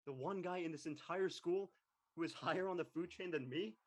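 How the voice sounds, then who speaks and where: A man's voice: sits high, brisk. Brash teasing teenage boy, played by a man. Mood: aggrieved. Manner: mocking, blunt. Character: brash teasing high-school boy, image-obsessed would-be athlete. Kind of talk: amateur audio drama table read.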